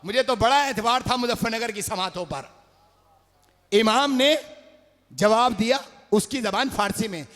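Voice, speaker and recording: A man with an Urdu accent delivering a majlis sermon, rising to shouts and sung phrases as he calls out to the gathering. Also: sits high, measured pace, pauses often. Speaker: majlis orator. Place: large religious gathering hall. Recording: long hall reverb.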